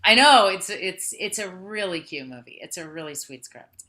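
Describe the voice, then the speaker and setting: A woman guest talks through stories in a casual online interview, animated and quick to laugh. Her voice is low-pitched for a woman yet bright and forward. The sound is a video call. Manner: animated storyteller, laughs often. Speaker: guest, singer-songwriter and actor.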